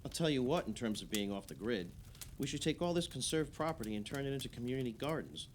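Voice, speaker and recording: A man's voice: mid-range voice, expressive, fast talker, slight background noise, natural voice. An actor playing an opinionated, idealistic male islander holding forth emphatically in conversational radio play dialogue. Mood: opinionated, insistent.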